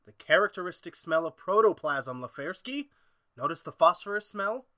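A man's voice: high-pitched, animated, moderate pace, sharp tone, dry recording, clean studio sound. A man playing an obsessed, domineering mad scientist in an old-time radio horror play, with a theatrical, gloating manner. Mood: brisk, amused.

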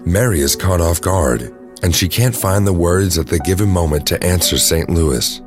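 Male narrator, deep low voice, scripted storytelling, audio drama, music behind. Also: lively intonation, moderate pace.

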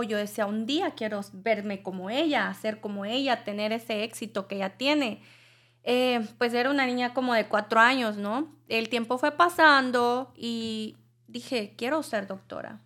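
A young woman from El Salvador, speaking softly and reflectively as a podcast guest telling her life story. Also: a little high. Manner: soft-spoken, a little nervous, reflective. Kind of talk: personal storytelling in interview.

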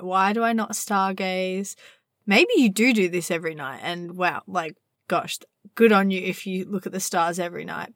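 A young woman hosting a spiritual podcast from inside her van at night, slightly husky as her voice recovers, talking in a dreamy, meandering way. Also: mid-range voice, a bit bright, dry recording, clean studio sound. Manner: dreamy, meandering, drawn-out words. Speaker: podcast host. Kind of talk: casual spiritual podcast monologue.

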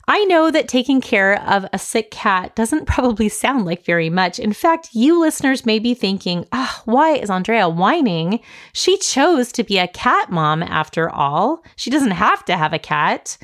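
A middle-aged woman hosting a personal podcast monologue, her voice a little raspy and scratchy from a mild head cold. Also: mid-range voice. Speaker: podcast host and coach.

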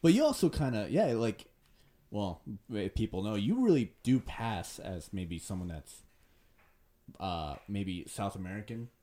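Deep-voiced young podcast host. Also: male voice, sing-song, unhurried, dark tone. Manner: hesitant, stumbling, many fillers. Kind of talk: casual podcast chat.

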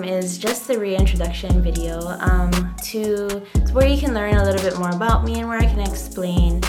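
A woman from Hawaii, hosting her own podcast, talking slowly and gently with many ums and pauses. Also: mid-range voice. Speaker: podcast host. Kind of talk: casual solo podcast monologue. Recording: loud background music.

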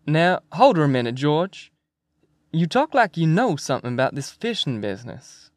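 A man's voice: on the high side, big pitch swings, moderate pace, no room sound, clean studio sound. A man voicing a brash, cajoling ringleader in an audiobook, hearty and pushy with colloquial talk. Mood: coaxing. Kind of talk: acted audiobook character dialogue.

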